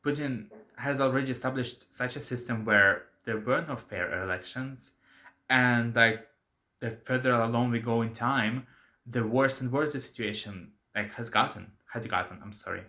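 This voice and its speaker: A man's voice: medium pitch, normal speed, bright, forceful tone. A young man from Russia with a Russian accent, speaking English as a second language in a casual opinion vlog, earnest and full of fillers and self-corrections. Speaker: channel host.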